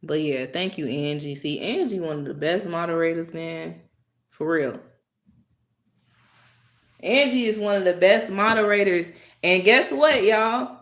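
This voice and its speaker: A woman hosting a live stream, talking casually and directly to her chat, animated and exasperated with commenters. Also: mid-range voice, echoey room.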